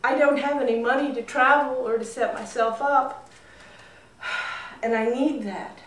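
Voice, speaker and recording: A woman's voice: sits high, fairly even intonation, a little slow, a bit bright, voice pushed up. A woman storyteller voicing a frustrated, earnest young man in a fable, with emphatic delivery. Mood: despairing. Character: restless, frustrated young man in brother's shadow. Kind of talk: live storytelling. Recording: roomy echo, distant mic.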